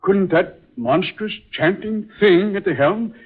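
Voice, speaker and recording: An actor playing a solemn, dramatic man in an old-time radio drama, speaking in a deliberate, theatrical way. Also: high-pitched, husky, full character voice. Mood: solemn, musing. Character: solemn male film star, grave and dramatic. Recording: muffled, tinny vintage radio recording.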